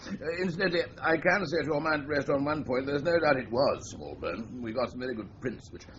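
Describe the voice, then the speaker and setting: Man acting a senior British police chief, brisk, dry, authoritative, animated mid-pitched voice, radio crime drama, muffled sound. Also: natural voice. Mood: dry, businesslike. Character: brisk senior policeman, male superior briefing his inspector. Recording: muffled radio drama recording, faint noise.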